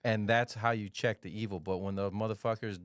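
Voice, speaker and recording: A man's voice: fairly low pitch, steady tone, a little fast, no echo, studio quality. A man talking bluntly and emphatically in a casual podcast chat, swearing freely.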